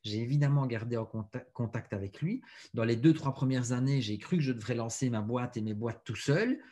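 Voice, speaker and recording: A man, a guest on a podcast, telling stories in an animated way and repeating words as he thinks. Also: medium pitch, fairly quick. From Belgium. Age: 40s. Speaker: guest, author and speaker. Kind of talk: casual podcast interview.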